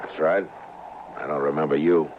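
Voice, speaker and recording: An actor playing a calm, wry American frontier marshal in a 1950s radio western, speaking in a dry, measured way with a deep voice. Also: male voice. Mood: firm, calm. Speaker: lead actor. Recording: muffled, noisy vintage radio recording.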